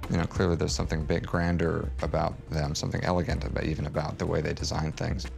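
A man's voice: a bass voice, little pitch movement, fast talker, creaky. A man working on a film's design, speaking in a behind-the-scenes interview. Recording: music playing behind the voice.

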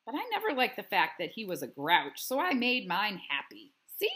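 A woman's voice: medium pitch, wildly swooping pitch, moderate pace, bright, forceful tone, slightly rough, heavily acted. A woman voicing a friendly but wounded young schoolgirl in a storybook, earnest and confiding. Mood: chirpy.

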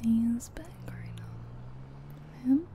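A soft-spoken woman doing an ASMR roleplay as a friendly, chatty taxi driver, in a gentle, whispery delivery. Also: on the high side, wildly swooping pitch, extremely slow, gravelly. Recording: faint background noise of game car sounds. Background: car sounds from a driving game.

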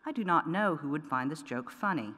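A middle-aged woman poet reading her work aloud at a lectern microphone, measured and deliberate with pointed emphasis. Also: deep voice, expressive, fairly bright tone. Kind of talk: poetry reading. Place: large wood-paneled auditorium, at a lectern. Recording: long reverb of a large hall.

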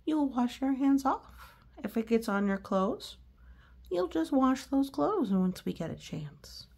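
A woman's voice: sits high, very animated, slow pace, gravelly, a little mellow. A woman reading a storybook to young children, explaining things in a reassuring way. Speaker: storybook reader. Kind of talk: read-aloud commentary for young children.

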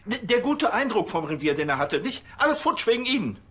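An actor playing a fussy, exasperated police chief, stammering and pedantic in a TV police comedy. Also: male voice, high-pitched, sing-song, a little fast, fairly bright tone, slight background noise.

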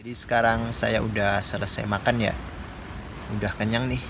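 A man's voice: lowish voice, flat delivery, normal speed, music in the background. A man in his twenties from Indonesia, chatting casually to camera as a solo hiking vlogger inside a small tent. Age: 20s. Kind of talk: casual vlog chat to camera. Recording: clear background noise, outdoor ambience.

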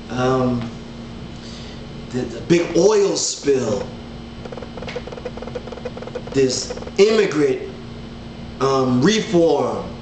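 A man's voice: fairly high pitch, slight background noise. A man in his thirties giving a casual Bible study talk to an online audience from his living room, earnest and full of gesture, often repeating words as he gathers his thoughts. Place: living room at home, indoors. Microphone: webcam at desk distance. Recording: roomy home webcam audio.

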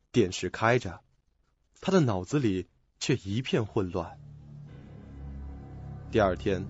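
A man's voice: fairly low pitch, slightly rough, no room sound. A man narrating a scripted audio drama on a lo-fi recording. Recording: dull lo-fi recording, faint music behind.

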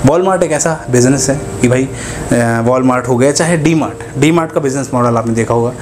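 Young man, mid-pitched voice, casual explainer, lapel mic, audible background noise. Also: unhurried, darkish tone. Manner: conversational, keeps asking "है ना", gestures with both hands. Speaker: business advice YouTuber. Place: indoors, grocery-store backdrop.

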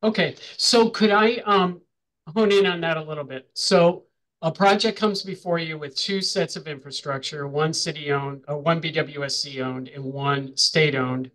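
Higher-voiced man chairing a hearing. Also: moderate pace, a bit bright, poor audio. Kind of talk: moderating a meeting with questions. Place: remote video call.

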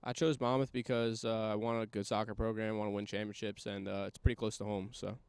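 A young man from New Jersey giving short relaxed answers into a handheld mic on an outdoor soccer field. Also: lowish voice, fairly quick, smooth, no echo. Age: young adult. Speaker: college soccer recruit. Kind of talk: casual on-camera interview.

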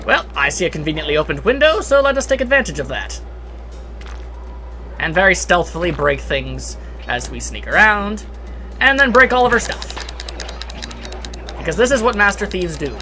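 A man with a high, bright voice gives casual video game commentary. He is sarcastic and playfully grandiose, with game music behind him. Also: sing-song, normal speed, pauses often. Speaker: let's play commentator.

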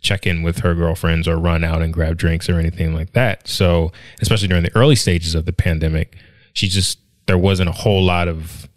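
Low-voiced man in his thirties on a podcast. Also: steady tone, brisk, no room sound, studio quality. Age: 30s. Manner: rambling, thoughtful, many restarts and fillers. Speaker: podcast co-host. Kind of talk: casual conversational podcast chat. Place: living room at home, indoors. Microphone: studio mic on a stand with headphones.

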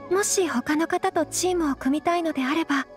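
Sweetly formal young noble lady, really high, modest, game dialogue over faint music. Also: a little fast. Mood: shy, hopeful. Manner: very polite, formal, slightly hesitant. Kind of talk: Japanese video game voice acting.

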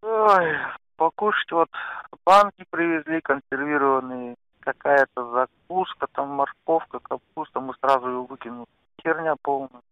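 A man's voice: medium pitch, moderate pace, clean tone, no echo. A man, a soldier, chatting casually on a lo-fi intercepted phone call.

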